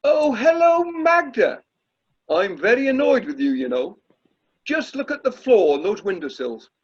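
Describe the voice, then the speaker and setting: A man's voice: very high-pitched, sing-song, unhurried, breathy edge, full character voice. An amateur actor playing a pompous, irritable middle-aged British drama society chairman in a radio play. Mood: indignant. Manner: pompous, self-dramatising, exasperated. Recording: muffled, lo-fi video call audio.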